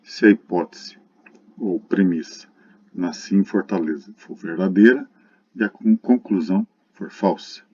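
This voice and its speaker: A man's voice: low voice, a little slow, a little mellow, husky. A Brazilian man giving a recorded math lesson in a didactic, explanatory way, addressing students directly. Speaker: lecturer. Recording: dull lo-fi muffled recording.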